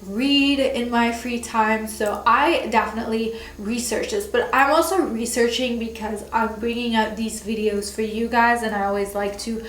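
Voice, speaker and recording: A woman's voice: on the high side, fairly even intonation, moderate pace, few pauses, fairly bright tone, roomy sound, rough recording. A young woman hosting her own channel, chatting casually with lots of fillers, her voice nasal and congested from a cold. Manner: chatty, many fillers, laughs between words. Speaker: channel host. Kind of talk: casual chat to camera.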